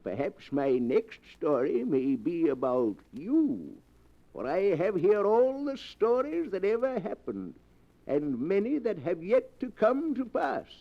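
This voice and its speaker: An actor playing the sinister keeper of a book of tales, narrating old radio horror in an ominous, theatrical manner. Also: male voice, high-pitched, big pitch swings, slow pace, heavily acted. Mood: menacing, teasing. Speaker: horror show host. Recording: muffled vintage radio, music behind.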